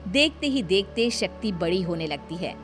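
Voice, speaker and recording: A woman narrating a cartoon horror story in a steady, plain storytelling manner. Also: lowish voice, moderate pace, faint music behind.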